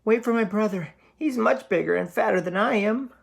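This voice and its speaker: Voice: medium pitch, brisk, gravelly, pitched above the performer's own voice, natural voice. A storyteller voicing a small, timid young billy goat who pleads and stammers in fright. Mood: frightened, stammering.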